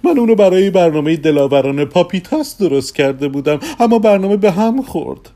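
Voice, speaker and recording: A man's voice: high voice, expressive, dark tone. A man voicing an eccentric, thin little male stage magician in an audio drama, theatrical and chuckling. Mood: scheming, persuasive.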